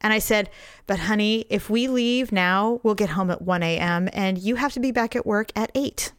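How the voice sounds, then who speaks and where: A middle-aged woman, slightly hoarse, telling a story in casual, animated chat and laughing often. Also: mid-range voice, moderate pace, no echo, studio quality. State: hoarse, recovering voice.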